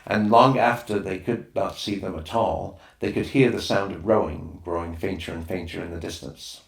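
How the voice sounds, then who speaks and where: Low-voiced man reading, in a roomy space. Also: steady tone, moderate pace, vocal fry. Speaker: audiobook reader. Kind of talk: scripted narration of a children's novel. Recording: roomy, echoing room sound.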